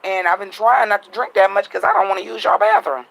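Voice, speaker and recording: A man vlogging at home at night, venting bluntly and profanely in a casual monologue, his voice a little raspy. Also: sits high, quick pace, a bit bright.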